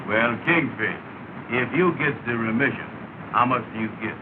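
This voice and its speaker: A man's voice: medium pitch, normal speed, natural voice. A self-important, bossy dimwit blusters and labors over his words, played by a man in a 1930 film comedy. The sound is tinny, with heavy noise. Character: pompous, slow-witted, bossy man, self-styled brains of a duo.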